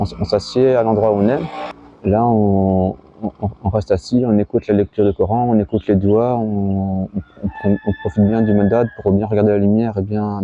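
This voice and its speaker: A man giving an informal spiritual teaching talk as a disciple, speaking haltingly and often repeating his words. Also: fairly low pitch. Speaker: Sufi disciple giving guidance. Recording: dull lo-fi recording.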